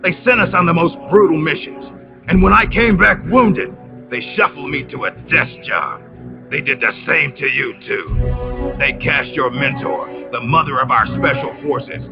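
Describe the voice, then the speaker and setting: A smug, scheming officer in a game cutscene, with a fairly high, gravelly voice. He taunts with theatrical condescension, and the muffled audio sits under loud music. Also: expressive, moderate pace. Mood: bitter. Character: smug, scheming traitorous male military officer, bitter and mocking. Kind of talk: dramatic video game cutscene acting.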